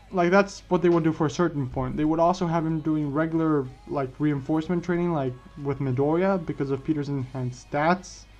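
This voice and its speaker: A man with a slightly high voice narrates a what-if fan story in a halting, informal way. He doubles back on phrases as he goes. The recording is a bit dull and lo-fi, with music underneath. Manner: halting, repeats and restarts phrases. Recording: dull lo-fi mic, music behind. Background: background music.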